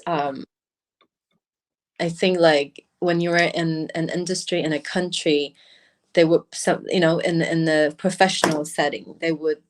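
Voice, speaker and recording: A woman with a low voice and a Mandarin accent speaks English as her second language. She talks in halting bursts, restarting and correcting herself often. She is heard through a laptop webcam on a video call. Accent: Mandarin-influenced English. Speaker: brand founder, guest. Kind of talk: casual video-call interview.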